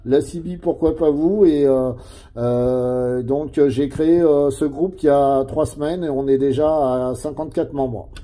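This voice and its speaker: A middle-aged man talks as a CB radio operator. His voice is very dark and mellow, at an ordinary male pitch. He keeps a casual, chatty manner, often tacking little tags onto his phrases. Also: steady tone, a little slow.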